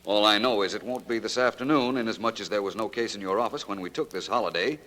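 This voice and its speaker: An actor playing a suave, witty, self-assured gentleman detective in an urbane, droll 1940s radio drama style. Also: lowish voice, fast talker. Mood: playful, confident. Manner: urbane, formal, droll phrasing. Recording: 1949 radio recording, long reverb, clear noise.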